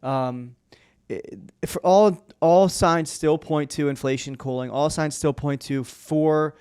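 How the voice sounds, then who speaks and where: Smooth-voiced host asking quick questions. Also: male voice, on the high side, darkish tone. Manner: asks quick stacked questions. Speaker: podcast host. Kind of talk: casual interview.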